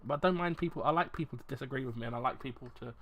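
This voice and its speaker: A man's voice: mid-range voice, racing, a bit bright. A man chatting casually in his own vlog, talking in a rambling, self-deprecating way with many restarts as he thinks aloud. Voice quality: plain, unremarkable voice. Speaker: YouTuber reviewing ration packs. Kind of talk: casual unscripted vlog chat.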